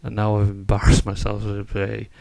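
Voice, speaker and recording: A man's voice: lowish voice, monotone, moderate pace, gravelly, darkish tone, slight background noise. A man in his late twenties hosting a gaming live stream, chatting in a rambling, hesitant, jokey way. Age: late 20s. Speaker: gaming channel host. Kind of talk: casual live-stream chat.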